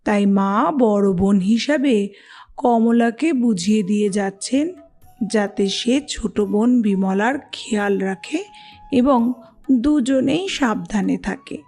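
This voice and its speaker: A woman's voice: mid-range voice, measured pace, dark tone, faint music behind. A woman narrating a scripted children's story.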